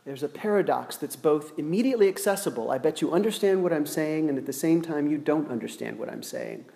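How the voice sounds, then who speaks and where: A man preaching a sermon, slow and deliberate, with dramatic pauses between words. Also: fairly high pitch, sing-song, vocal fry, dark tone. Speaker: pastor. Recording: long hall reverb.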